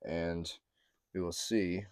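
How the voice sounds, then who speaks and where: Guy for a diy van vlog, very low, a bit hoarse, dry close sound. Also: takes it slowly, no room sound. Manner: relaxed, chatty, many ums and throat clearing. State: slightly hoarse, losing his voice. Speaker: van life vlogger. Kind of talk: casual how-to narration to camera.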